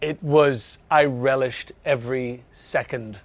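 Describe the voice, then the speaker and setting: Welsh man, big tenor voice, animated chatty guest with laughs and false starts. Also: medium pitch, dry recording. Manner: animated, laughs often, halting restarts. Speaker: actor guest. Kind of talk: podcast interview chat.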